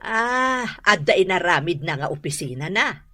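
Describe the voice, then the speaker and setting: A woman acting an animated, talkative character in an Ilocano domestic radio comedy-drama. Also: lowish voice, moderate pace, exaggerated voice. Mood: chatty, nosy.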